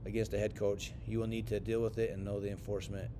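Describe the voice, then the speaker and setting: An American man giving scripted training narration as an instructor for sports officials, in a measured, instructional way. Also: flat delivery. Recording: clear rumbling background noise.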